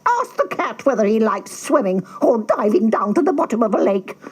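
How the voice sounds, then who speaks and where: A woman's voice: on the high side, big pitch swings, normal speed, breathy edge, pitched above the performer's own voice. A woman voicing a scornful, bossy hen, scolding and dismissive, in a storybook character voice.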